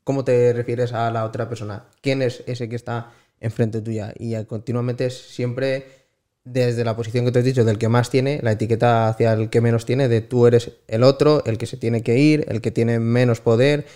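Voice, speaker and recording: Earnest man with a flat, mellow voice. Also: mid-range voice, moderate pace. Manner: earnest, builds points by listing. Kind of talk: casual conversation on a podcast.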